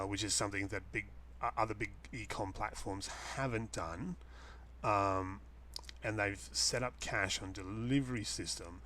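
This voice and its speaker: A man with an Australian accent giving a casual, unscripted explanation to a webcam in a halting, rambling way, repeating phrases for emphasis. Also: fairly low pitch, fairly bright tone, slight background noise. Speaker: host answering a viewer's question, online seller. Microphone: webcam setup.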